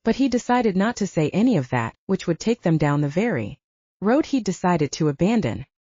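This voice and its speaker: A woman's voice: on the low side, big pitch swings, normal speed, warm tone, breathy edge, dry recording, highs cut off. A woman reading a scripted story as an audiobook narrator.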